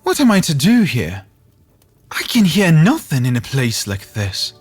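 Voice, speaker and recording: A man's voice: fairly high pitch, huge pitch swings, unhurried, slightly rough, soft background music, heavily acted. A man voicing a naive, lonely young fir tree, musing earnestly and hesitantly in a fairytale. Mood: puzzled, lonely.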